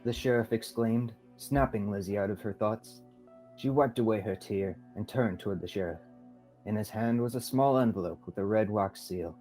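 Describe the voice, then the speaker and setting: A man's voice: lowish voice, normal speed, warm tone, faint music behind. A young man reading scripted narration for a radio theatre play. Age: young adult.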